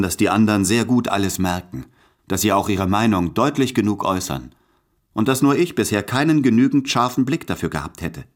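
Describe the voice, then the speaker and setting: A man acts out a tormented male letter writer in a radio play. His voice is low, and he confesses in measured, introspective phrases. He sounds anxious and self-abasing, yet tender. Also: close to an everyday voice. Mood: brooding, self-doubting. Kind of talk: intimate literary radio play monologue.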